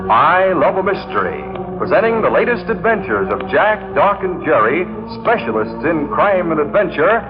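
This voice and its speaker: A man's voice: a little high, very animated, moderate pace. A man announcing a sponsored adventure serial in a 1940s radio style. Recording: dull old radio recording with music.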